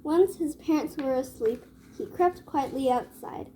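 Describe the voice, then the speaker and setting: High, mellow woman reading a children's story. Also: unhurried, a little hiss or hum. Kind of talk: children's read-aloud narration.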